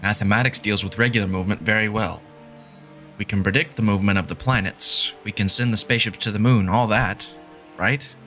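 A man's voice: on the low side, steady tone, brisk, pushed and bright, faint music behind. A man voicing a confident, sardonic male mathematician who explains things patiently and emphatically in a fan audio drama. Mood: lecturing. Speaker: fan voice actor.

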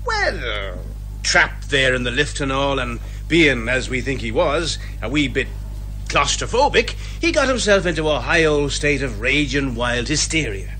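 A man's voice: mid-range voice, big pitch swings, measured pace, pushed and bright, soft background music. A man playing a bumbling, genial police inspector who rambles hesitantly and folksily in a radio comedy drama. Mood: apologetic, rambling.

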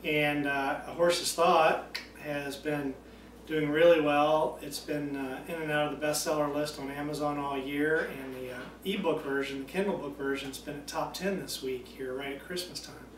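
A man's voice: fairly high pitch, normal speed. Easygoing man talking from across a room. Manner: easygoing, relaxed with many fillers. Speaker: author and vlogger. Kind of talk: casual holiday greeting. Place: indoors by a stone hearth at home. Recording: roomy, distant, faint background noise.

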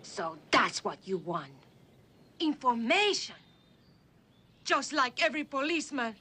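A woman's voice: high voice, very animated, unhurried, pushed and bright, breathy edge, exaggerated voice. An actress playing a fiery, bitter cantina girl who speaks in broken English, in an old screen drama. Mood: scornful, challenging. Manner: broken, non-native English phrasing. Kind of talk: dramatic mid-century screen acting.

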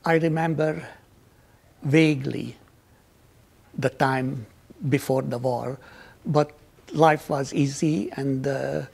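Elderly man, from Hungary, husky and gravelly, interview recollections, lapel mic. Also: mid-range voice, fairly even intonation, slow, pauses often, darkish tone. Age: 80s. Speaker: Holocaust survivor interviewee. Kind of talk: recounting childhood memories in an interview. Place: quiet indoor library.

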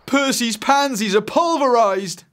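A high, excitable young boy from a storybook, acted out by a man. He is cheeky and guilty, pleading in loud, swooping bursts. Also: moderate pace. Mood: excited, alarmed.

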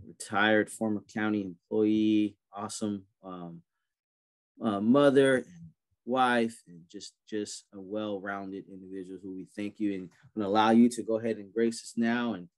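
A man's voice: on the low side, darkish tone. A man moderating an online prayer event, talking loosely with many fillers. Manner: loose, chatty, many fillers and light laughs. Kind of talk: casual hosting on a video call. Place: home, indoors, video call. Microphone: webcam on a video call.

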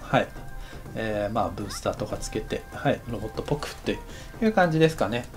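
A man teaching anime directing in a relaxed tutorial voice, acting out footsteps and sound effects as he explains. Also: on the low side, quick pace, faint music behind. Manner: lively, acts out sounds and footsteps. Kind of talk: casual tutorial narration.

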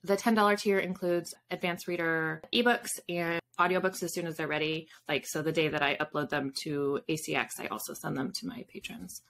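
Female author guest, thirties, lower register, casual and chatty, faint noise on the call. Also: steady tone, fairly quick, hardly any pauses, fairly bright tone. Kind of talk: casual chat. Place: indoors in a room with bookshelves, on a video call.

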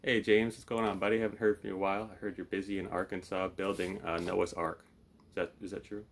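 A man's voice: low-pitched, little pitch movement, fast talker, gravelly, slight background noise. A man in his thirties chatting casually and off the cuff as a puppet show host in a small room at home. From lives in Florida, United States. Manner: easygoing, playing straight man to the puppet. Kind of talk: casual, improvised chat.

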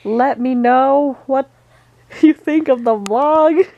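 A woman's voice: sits high, slow pace, gravelly, dark tone, dull audio. A young adult woman chatting casually in a giggly, self-deprecating way, picked up by a handheld camera in a bedroom. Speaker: vlogger's friend. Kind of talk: casual chat. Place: bedroom, indoors. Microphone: handheld camera, a little away.

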